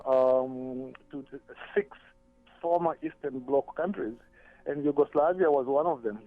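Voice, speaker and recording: A man from Zambia speaks as a radio show guest, calling in over a narrow, tinny phone line. He talks thoughtfully, often repeating words while he gathers his thoughts. His voice sits a little high and the sound is dry. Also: dry recording. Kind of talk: radio talk show discussion.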